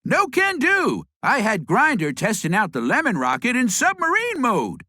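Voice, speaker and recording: Female gadget-whiz sidekick: chirpy, informal, high and slightly husky, close dry game-cutscene sound. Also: wildly swooping pitch, moderate pace, pushed and bright, no room sound, full character voice. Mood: matter-of-fact. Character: perky, matter-of-fact young female tech helper. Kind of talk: animated game cutscene acting.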